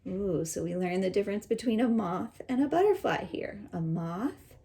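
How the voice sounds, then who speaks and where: A woman teacher reading a children's picture book aloud and pausing to explain it in simple words. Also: mid-range voice, lively intonation, dark tone.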